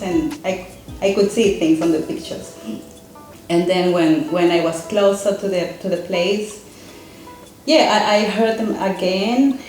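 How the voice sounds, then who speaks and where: A woman from Peru, a guest in a casual chat, speaking earnestly and haltingly as she searches for words. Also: medium pitch, a little mellow. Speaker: guest, seminar student. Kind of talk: casual conversation. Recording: very echoey room, faint music.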